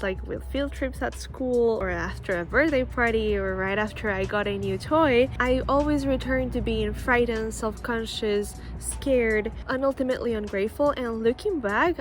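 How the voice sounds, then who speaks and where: A woman in her early twenties from Costa Rica, a native Spanish speaker, talks casually and earnestly to camera as she shares a personal story outdoors. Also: on the high side. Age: early 20s. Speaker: vlogger. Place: outdoors, school playground near a road. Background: music, possible passing cars and birds.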